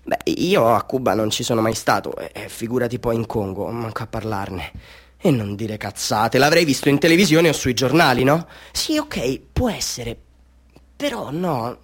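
An actor playing an excitable, self-doubting amateur photographer telling his story in a theatrical radio monologue, moving between confiding and fervent delivery. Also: medium pitch, slightly rough, full character voice. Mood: puzzled, agitated.

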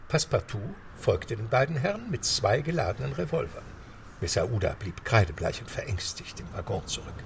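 Low gravelly guy narrating a radio play, lively delivery, noise behind. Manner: steady storytelling, measured. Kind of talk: scripted narration.

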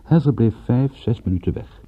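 An actor playing a wry, weary private detective in a radio drama, speaking in a dry, sardonic and understated way. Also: male voice, mid-range voice, expressive, normal speed, slightly rough. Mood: sardonic narration. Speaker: actor playing narrator and lead. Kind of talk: radio drama acting and first-person narration. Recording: muffled, thin phone-like radio recording.

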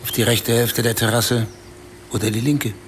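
A man's voice: mid-range voice, little pitch movement, moderate pace, slight background noise, close to an everyday voice. An actor in a radio play voicing a bitter, self-pitying man who recounts a wild night in a brooding, confessional way.

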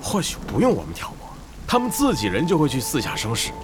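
A man's voice: mid-range voice, wildly swooping pitch, fast, music in the background. A man playing a brash, sly gamer who schemes gleefully in an audio drama. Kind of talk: Chinese audio drama dialogue.

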